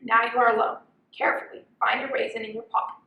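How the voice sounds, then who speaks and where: A woman's voice: a little high, little pitch movement, fast talker, creaky, muffled recording. A young woman reading a children's picture book aloud as a volunteer storyteller. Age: young adult. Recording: roomy, echoing sound.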